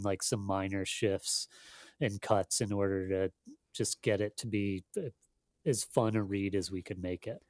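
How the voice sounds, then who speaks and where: A man speaking casually as an editor being interviewed, in a thoughtful, halting, self-deprecating way. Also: fairly low pitch, darkish tone, no echo, studio quality. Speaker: magazine editor, interview guest.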